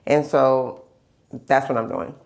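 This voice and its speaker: A woman's voice: very deep voice, fairly even intonation, slow pace, creaky, darkish tone. A woman vlogger chatting casually in a candid, rambling video diary, stuffy from a lingering cold and repeating phrases for emphasis. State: stuffy, getting over a cold. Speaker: vlogger sharing health journey.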